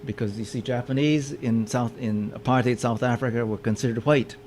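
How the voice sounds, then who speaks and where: A man speaking to a room at an ordinary middle pitch, talking through slides in a halting way. He often repeats a word or two before going on. Faint background noise sits under the recording. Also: fairly even intonation, a little mellow. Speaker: lecturer. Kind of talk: public lecture with slides. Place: meeting room with audience.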